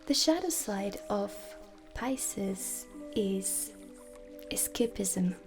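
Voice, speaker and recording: A woman speaking softly and breathily in a measured ASMR lesson, half whispering, as a witch teacher. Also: medium pitch, animated. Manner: soft, breathy, measured teaching delivery with deliberate pauses. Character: dark witch teacher, stern and aloof. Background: ambient music.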